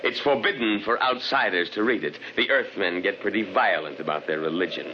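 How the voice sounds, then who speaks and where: An actor playing a pompous, mocking imperial governor and soldier, in 1950s radio acting with a dull recording. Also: male voice, fairly low pitch, a little fast, slight background noise, close to an everyday voice. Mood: scornful. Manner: pompous, mocking, laughs at others.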